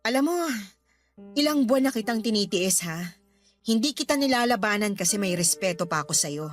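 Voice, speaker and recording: A woman's voice: medium pitch, expressive, a little fast, soft background music, natural voice. Woman acting a long-suffering girlfriend, dry retorts. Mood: fed up, defiant. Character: long-suffering middle-aged live-in girlfriend, finally talking back. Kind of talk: Tagalog radio drama acting.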